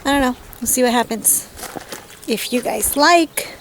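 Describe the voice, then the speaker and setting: A woman's voice: fairly high pitch, a little slow. A woman with a scratchy, allergy-affected voice, chatting casually and thinking aloud like a home vlogger at her chores. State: scratchy voice and throat clearing from allergies. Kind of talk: casual chat while doing backyard chores.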